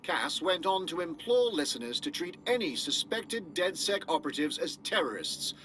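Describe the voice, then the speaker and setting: An actor with a British accent playing a formal, composed newsreader delivering scripted bulletins in a video game. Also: male voice, a little high, big pitch swings, normal speed, a bit bright, a little hiss or hum. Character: formal, composed male British news reporter. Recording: music behind the voice.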